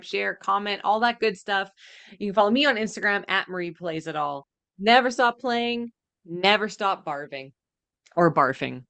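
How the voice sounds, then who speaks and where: Female podcast co-host, informal reaction chat, voice a little on the low side. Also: fairly bright tone.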